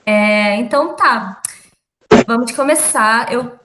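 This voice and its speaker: A young woman teaching an online class in a relaxed way, full of fillers and laughs. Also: fairly high pitch, takes it slowly, echoey room. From Rio de Janeiro, Brazil. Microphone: video call.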